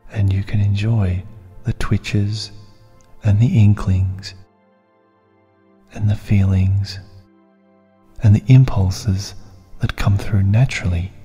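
A man with a dark, mellow voice leads a guided meditation in soft, breathy phrases. His delivery is very slow and soothing, with long pauses. The voice sits in a long reverb over music. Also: fairly low pitch.

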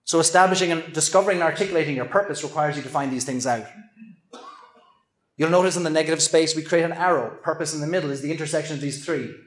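Man giving a keynote in an echoey hall. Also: on the high side. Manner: earnest, measured, self-deprecating asides. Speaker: keynote speaker. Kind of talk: conference keynote presentation. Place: large event hall with an audience. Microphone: handheld microphone at a lectern.

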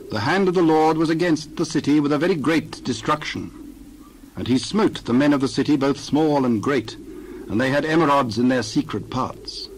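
A man narrating a dramatized scripture reading in a measured, steady way. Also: fairly high pitch, a little hiss or hum, poor audio. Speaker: scripture reader.

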